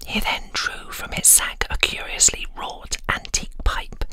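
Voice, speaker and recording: A woman's voice: measured pace, pushed and bright. A British woman narrating a scripted ASMR bedtime story close to the microphone. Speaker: voiceover artist, storyteller. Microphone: close, dry microphone.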